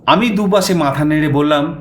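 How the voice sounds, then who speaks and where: A man narrating a suspense story in the first person, theatrical, with dramatic pauses. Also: on the high side. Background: music.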